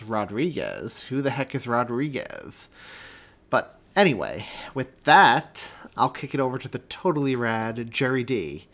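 Male podcast host, mid-pitched voice. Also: a bit bright. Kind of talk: casual scripted podcast introduction.

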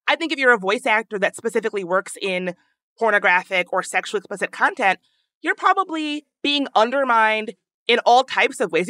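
A woman with a high voice, hosting a podcast in casual, earnest discussion, thinking aloud with frequent restarts. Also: pushed and bright, no echo, clean studio sound. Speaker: podcast host.

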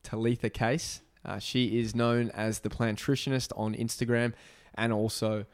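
A man hosting a casual interview, chatty and relaxed with frequent fillers. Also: medium pitch, moderate pace, no room sound, studio quality. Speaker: podcast host.

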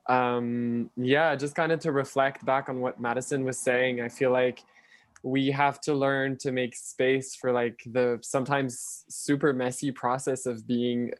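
A man speaking as a panel guest, gentle and reflective in tone. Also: medium pitch, level tone, measured pace, no room sound. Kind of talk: casual panel discussion.

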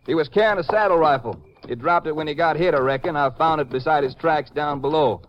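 Man playing a folksy, higher-pitched cowboy sidekick. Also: brisk, muffled recording, a little hiss or hum, lightly acted. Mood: matter-of-fact. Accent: American. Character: folksy, good-natured cowboy lawman, the Ranger's eager partner. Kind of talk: 1950s radio western acting.

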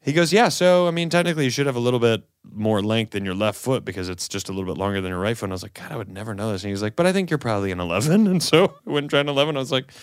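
A man's voice: mid-range voice, very fast, hardly any pauses, clear, resonant tone, dry recording, clean studio sound. A man co-hosting a comedy podcast, acting out the people in his stories as he tells them. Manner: animated storyteller, acts out both sides of conversations. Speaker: podcast co-host. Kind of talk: casual comedic chat.

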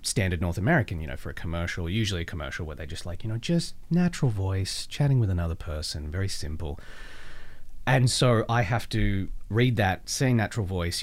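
A man with an Australian accent talking casually as an interview guest, haltingly, as if thinking aloud. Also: low voice, lively intonation. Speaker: voice actor, podcast guest.

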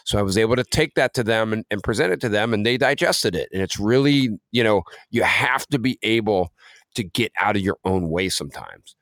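Low-pitched man chatting on a podcast. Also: animated, a little fast, fairly bright tone, dry recording, studio quality. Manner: conversational, peppered with "you know". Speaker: podcast co-host, music career coach. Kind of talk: casual podcast discussion.

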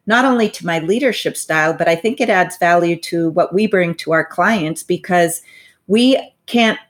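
A woman in her forties, a financial advisor, giving thoughtful, measured interview answers with frequent asides. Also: fairly low pitch. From United States, parents from Bolivia.

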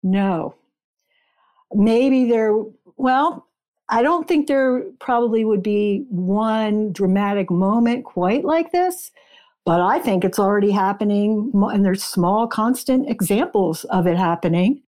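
A woman with a soft-edged, mellow voice talks as an author being interviewed. She explains history conversationally, leaning on key words for emphasis, at a middling pitch. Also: takes it slowly. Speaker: guest author.

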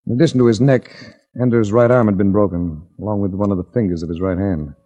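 A dull 1940s radio recording carries a low-voiced hard-boiled investigator played by a man. He is dry and measured, with a husky edge and a wisecracking manner. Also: fairly even intonation, dark tone, lightly acted. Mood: grim, measured narration. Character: wry hard-boiled investigator hero, smooth with women. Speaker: lead actor and narrator. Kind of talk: 1940s radio detective drama acting.

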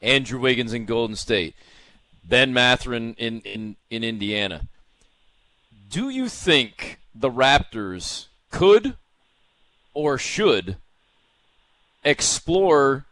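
A man's voice: medium pitch, pushed and bright, no room sound. Male sports interviewer, mid-range voice, dry studio-like sound, builds questions step by step. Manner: deliberate, rephrases questions in stages. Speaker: podcast co-host.

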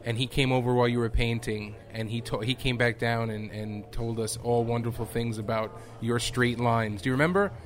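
A male co-host in an easygoing interview cuts in eagerly with questions and remarks. His voice sits at a medium pitch. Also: fairly even intonation, brisk, few pauses. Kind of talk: casual interview chat.

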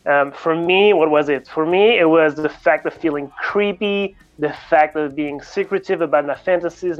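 A man's voice: a little high, highs cut off. A man from France with a French accent, a native French speaker, answering interview questions as a coach in a candid, reflective way. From France, grew up in Paris. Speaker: guest, coach.

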